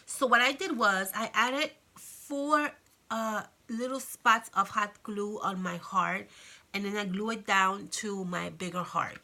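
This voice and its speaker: Woman, craft vlogger, bright tone, slightly raspy from a cold, chatty, checks in with "okay?". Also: medium pitch, a little slow. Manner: chatty, friendly, many fillers and "okay?" check-ins. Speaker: craft channel host. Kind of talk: casual crafting tutorial.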